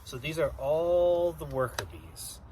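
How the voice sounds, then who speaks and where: A man's voice: a little high, very animated, takes it slowly, a little mellow, slight background noise, poor audio. A man giving an informal educational explanation for children as a guest, going through things plainly and step by step.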